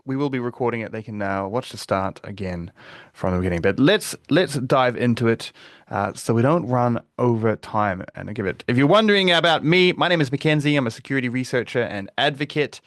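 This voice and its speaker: A man with a New Zealand accent presenting a live webinar in a relaxed, conversational way, with frequent fillers and restarts. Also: medium pitch, brisk, dry recording, clean studio sound. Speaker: webinar presenter, security advocate.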